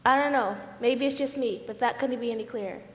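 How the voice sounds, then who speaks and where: A woman's voice: a little high, a little fast, close to an everyday voice. A woman playing a firm, precise, righteous juror in an amateur stage play. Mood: insistent. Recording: echoey hall with background noise.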